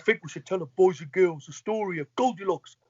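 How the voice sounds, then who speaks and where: A middle-aged Welsh man with a fairly high voice speaks as an entertainer being interviewed. He chats in an easy anecdotal way with little asides to the listener. The sound is slightly dull, though fairly dry. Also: a little fast, husky, no echo. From Wales. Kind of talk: casual anecdotal chat.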